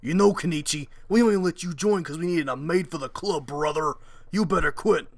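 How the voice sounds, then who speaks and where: A man's voice: fairly high pitch, a little fast, vocal fry, noisy background. A man voicing a cocky karate club bully, taunting and swaggering, in an amateur anime parody dub. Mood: smug threat.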